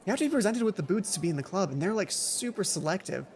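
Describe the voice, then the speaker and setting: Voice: medium pitch, animated, quick pace, darkish tone, some chatter behind, a little hiss or hum, natural voice. A let's player voicing a gossipy, boy-crazy teenage schoolgirl in a visual novel, chatty and confiding.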